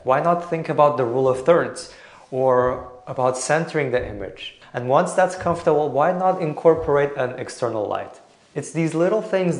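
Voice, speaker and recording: A man from Portugal calmly explaining video composition as a tutor, with some fillers and an encouraging tone. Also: mid-range voice. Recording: roomy echo.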